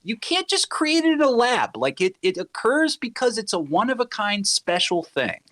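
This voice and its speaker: A man in his thirties talks as a guest on a casual podcast. His voice sits a little high and moves around a lot in pitch. He is emphatic and informal, in a dry recording. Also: normal speed, dry recording. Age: 30s. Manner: animated, emphatic, profane. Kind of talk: casual chat.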